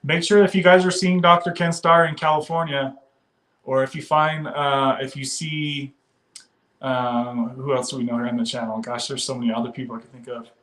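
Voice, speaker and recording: A man's voice: medium pitch, level tone, normal speed, a bit bright, poor audio. A man from the western US hosting a casual livestream, chatting loosely, laughing often and reading viewer comments aloud. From western United States. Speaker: livestream host.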